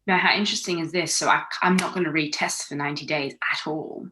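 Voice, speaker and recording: A woman's voice: deep voice, steady tone, quick pace, bright, forceful tone. A woman in her thirties from Australia, a podcast guest chatting casually with lots of fillers and restarts. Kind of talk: casual chat. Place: home in London.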